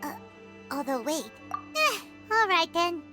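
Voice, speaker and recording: A woman voicing a tiny, excitable, blunt fairy companion with a squeaky childlike voice in a video game. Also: takes it slowly, heavily acted. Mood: casual, dismissive. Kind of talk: English video game voice acting. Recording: loud music over the voice.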